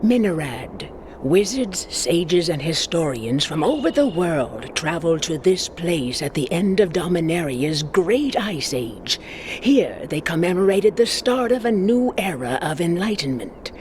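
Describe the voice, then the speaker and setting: Mysterious lore keeper for a fantasy game, really low voice, swoops around, portentous. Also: female voice, big pitch swings, a little slow, a little mellow, a little hiss or hum. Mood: ominous, mysterious. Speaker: campaign narrator. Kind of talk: scripted fantasy game narration.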